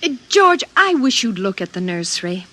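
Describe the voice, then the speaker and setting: An actress playing a gentle, coaxing suburban wife and mother in an old-time radio drama. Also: mid-range voice, sing-song, moderate pace. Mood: uneasy, insistent.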